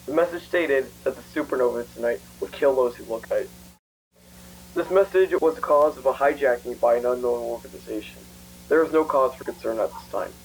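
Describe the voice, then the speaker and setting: Man as calm emergency broadcast official, mid-pitched, formal, matter-of-fact, scripted announcement, faint radio hum. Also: brisk, lightly acted, poor audio. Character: calm official reading police alert message.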